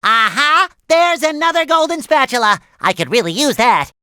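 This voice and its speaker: A man voicing an excitable, naive, cheerful young cartoon sea sponge in a video game, with a squeaky nasal voice and eager, childlike earnestness. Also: moderate pace, no echo, clean studio sound, full character voice. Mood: gleeful. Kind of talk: animated video game voice lines.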